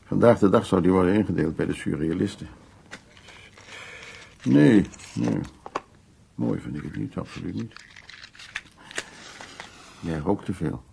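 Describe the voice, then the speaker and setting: An actor playing a worldly, lonely, insistent suitor in a naturalistic radio drama, musing and teasing in a husky, gravelly voice. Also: male voice, deep voice, lively intonation, unhurried, pauses often, warm tone, a little hiss or hum, heavily acted. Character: worldly, insistent, lonely man courting a younger woman.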